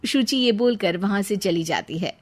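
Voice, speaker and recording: A woman's voice: medium pitch, moderate pace, slightly rough. A woman reading a scripted cartoon story as its narrator.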